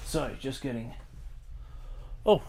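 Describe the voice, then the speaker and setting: A man's voice: medium pitch, expressive, slow, creaky, darkish tone, slightly rough. A middle-aged man hosting a podcast, chatting casually and reading aloud from a book. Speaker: podcast host.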